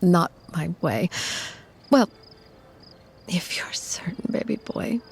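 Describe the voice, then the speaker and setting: A woman acts as a tender vampire lover, protective and soothing. Her voice is very breathy and fairly low, with creaky edges, delivered in a measured, intimate ASMR roleplay style. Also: big pitch swings, darkish tone, exaggerated voice. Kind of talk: ASMR audio roleplay acting.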